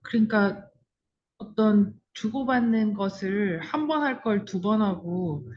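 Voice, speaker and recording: A woman's voice: mid-range voice, slow, dull audio. A woman taking part in a seminar, giving an informal, tentative reflection and rewording as she explains, over an online call. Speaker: seminar participant. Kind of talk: informal spoken reflection in a discussion.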